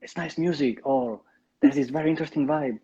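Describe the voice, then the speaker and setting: A man in his thirties from Italy with an Italian accent, speaking English as a guest in a live interview, relaxed and hesitant with many ums and restarts. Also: fairly high pitch, a little fast, slightly rough, lo-fi sound. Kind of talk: casual interview, live stream.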